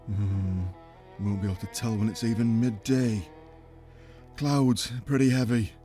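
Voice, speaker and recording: An actor playing a huge, simple, childlike potion brewer in an audio drama, with rustic folksy speech and a halting, earnest, wounded manner. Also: male voice, lowish voice, fairly even intonation, mellow, soft background music, natural voice. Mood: wistful. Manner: halting, plain-spoken, earnest. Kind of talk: audio drama character acting.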